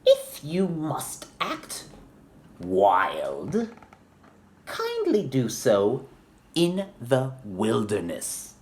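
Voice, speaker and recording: A man's voice: medium pitch, huge pitch swings, very slow, a little mellow, exaggerated voice. A man voicing a prim, scolding lady elephant from a storybook, in a stern and proper manner. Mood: stern, exasperated.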